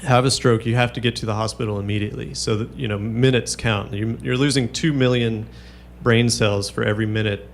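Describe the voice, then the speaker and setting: A man as a doctor guest on a radio talk show, speaking haltingly with many fillers and restarts. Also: mid-range voice, steady tone, a little hiss or hum. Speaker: neurologist guest. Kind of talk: radio interview.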